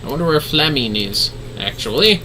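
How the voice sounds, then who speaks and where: A man's voice: on the high side, animated, moderate pace, background noise. A man giving casual livestream game commentary in an offhand, wry, slangy way. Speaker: game streamer.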